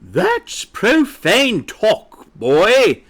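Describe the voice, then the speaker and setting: A man's voice: fairly high pitch, huge pitch swings, takes it slowly, fairly bright tone, pitched above the performer's own voice, full character voice. A man voicing a fat, haughty mother hen who scolds and squawks in pompous indignation for a storybook. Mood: disapproving, scornful. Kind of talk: animated storybook character voice.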